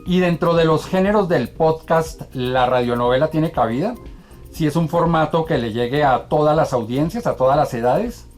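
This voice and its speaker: A man's voice: fairly high pitch, moderate pace, faint music behind. A man hosting a television programme in a formal, courteous, scripted presenting style. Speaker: TV programme host and viewer ombudsman.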